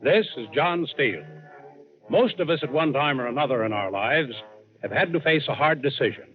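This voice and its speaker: Male host played by an actor for vintage radio adventure, medium pitch, storytelling narration on a thin, narrow recording. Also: expressive, normal speed, natural voice. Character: adventurer host spinning a yarn. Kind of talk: scripted old-time radio narration. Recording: tinny old radio recording. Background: dramatic underscore music.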